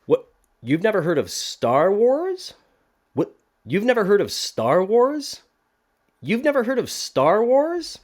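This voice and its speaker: Man voicing incredulous, raised-pitch cartoon guy. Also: wildly swooping pitch, slow pace, long pauses, exaggerated voice.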